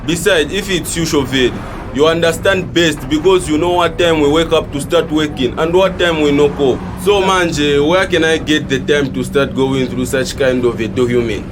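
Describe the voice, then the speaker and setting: A man's voice: on the high side, moderate pace, few pauses, close to an everyday voice. Man playing a slangy Zambian minibus conductor, noisy street. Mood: curious, defensive. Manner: casual, slangy, questioning. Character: cheeky, curious young male minibus conductor. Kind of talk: acted audio drama dialogue in code-switched street talk. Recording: noisy street and vehicle background.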